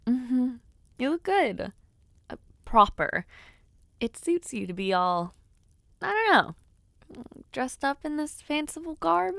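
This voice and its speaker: Actress as young noblewoman, giddy and shy, affectionate teasing, slow pace, hesitant phrasing, close dry sound, romance audio roleplay. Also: high voice, sing-song, vocal fry, no room sound, exaggerated voice. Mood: playful teasing. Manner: soft hesitations, gentle teasing, shy giggles. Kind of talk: intimate scripted audio roleplay, speaking to the listener.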